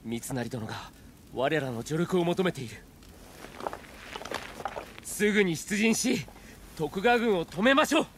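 An actor playing an earnest, courteous young samurai warrior in a game, with solemn, formal speech. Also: male voice, on the high side, expressive, unhurried, pauses often, fairly bright tone, slight background noise. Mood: urgent. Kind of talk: Japanese samurai game cutscene acting.

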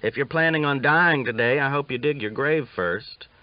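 A man's voice: mid-range voice, normal speed, voice pushed up, lightly acted. Man voicing a wry, ornery aging cowboy. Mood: wry teasing. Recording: dull lo-fi audiobook recording.

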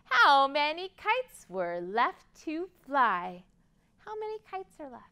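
A woman's voice: sits high, sing-song, slow pace, sharp tone. An American woman in her thirties leading a children's storytime in a sing-song, exaggerated way for little kids. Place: library room, indoors. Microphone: lapel.

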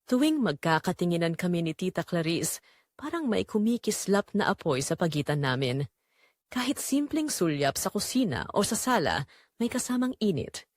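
A woman reading a scripted first-person audio drama in a dramatic, measured way with short pauses. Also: fairly low pitch, sing-song, a little mellow, no echo, clean studio sound. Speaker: narrator.

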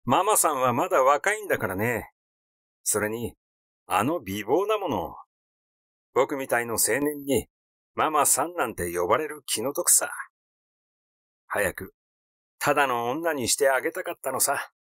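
Man playing a smooth, sly young heir. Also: mid-range voice, very animated, a little slow, long pauses, fairly bright tone, dry recording, clean studio sound. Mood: airy.

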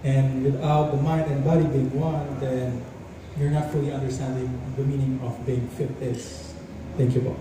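A man's voice: mid-range voice, flat delivery, normal speed. A man in his thirties from the Philippines, a pageant contestant giving a plain, matter-of-fact self-introduction on stage. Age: 30s. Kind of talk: prepared self-introduction speech. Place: large indoor hall stage. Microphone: handheld mic, filmed from far. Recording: echoey noisy hall from far off.

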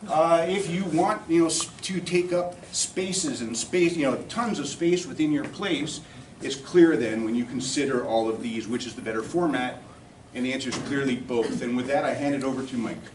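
A middle-aged man giving an informal talk in a halting, rambling way. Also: medium pitch. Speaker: co-presenter at a society meeting. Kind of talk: informal, loosely scripted talk. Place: classroom or lecture room, indoors. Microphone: far camera. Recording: echoey, distant, noisy camera recording.

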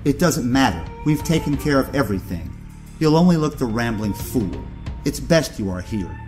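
An actor playing a ruthless, contemptuous aristocratic uncle in an audio drama, speaking in a theatrical, condescending way. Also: male voice, mid-range voice, very animated, moderate pace, darkish tone, soft background music, lightly acted. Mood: cold, dismissive.

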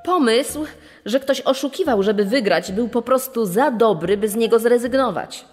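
An actress playing a defensive, incredulous wife of an accused man, expressive and scoffing, in a true-crime dramatization. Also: fairly high pitch, normal speed, big-room sound, soft background music, natural voice.

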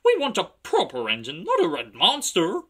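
A man's voice: high-pitched, wildly swooping pitch, normal speed, fairly bright tone, husky, pitched above the performer's own voice, heavily acted. A man voicing a gang of rude, screeching railway trucks in a children's story, chanting taunts. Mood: jeering, defiant.

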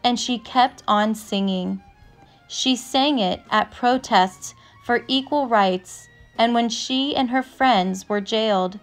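A woman narrating a picture book aloud with measured, deliberate phrasing. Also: on the high side, soft background music. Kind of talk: storybook read-aloud, scripted narration.

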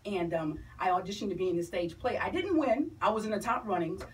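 A woman's voice: mid-range voice, animated, quick pace. A middle-aged woman, chatting enthusiastically and emphatically as a guest in a promotional radio interview. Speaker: interview guest, entertainer and stage play producer. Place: small radio studio, camera across room. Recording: distant mic, noisy room ambience.

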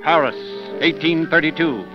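A man giving measured, dramatic scripted narration in a 1930s radio style. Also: a little high. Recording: dull 1930s radio recording. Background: music.

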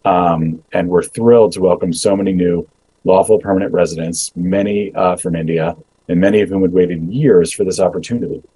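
Low, dark-voiced government official. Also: male voice, slightly rough, rough recording. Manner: measured, many ums and uhs, restarts. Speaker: immigration agency official. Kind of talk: prepared remarks and Q&A answers. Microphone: video call webcam.

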